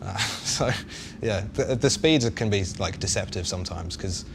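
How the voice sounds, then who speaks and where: A man with a deep voice talks casually on a panel. He explains in a chatty, hesitant manner, with clear noise on the livestream recording. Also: animated, normal speed. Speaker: game developer on a panel.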